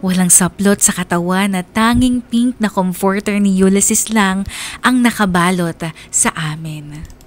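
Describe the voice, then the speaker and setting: A woman's voice: medium pitch, a little slow, close to an everyday voice. A woman playing a young woman who narrates her story confessionally in a radio drama. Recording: music bed behind the voice.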